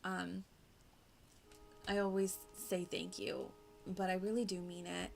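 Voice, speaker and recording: A woman's voice: on the low side, flat delivery, measured pace, creaky, darkish tone. A woman livestreaming a video game with casual commentary, in a playful, gushing and informal manner. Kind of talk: casual live gameplay commentary. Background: music.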